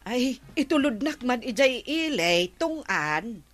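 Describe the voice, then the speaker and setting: A woman's voice: on the high side, big pitch swings, slow, a bit bright, soft background music, heavily acted. An actress playing a vain village woman obsessed with whitening her skin in a radio comedy drama, with an Ilocano accent. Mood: vain, casual.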